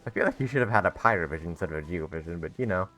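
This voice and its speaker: A woman's voice: extremely low pitch, lively intonation, racing, a bit bright. A woman giving casual, amused and teasing commentary as she plays through a video game. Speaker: game streamer. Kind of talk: casual let's play commentary. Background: faint game music.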